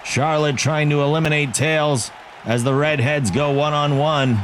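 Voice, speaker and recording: Male play-by-play caller for a game wrestling league, excitable, slightly high voice, crowd and noise under the mic. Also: unhurried. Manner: excitable, self-joking asides between calls. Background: video game arena crowd.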